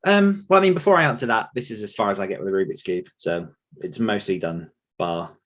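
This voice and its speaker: A man's voice: lowish voice, animated, a little fast, a bit bright. A British man in his thirties talking casually and reflectively as an interview guest, wandering as he tells his stories. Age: 30s. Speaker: podcast guest, author.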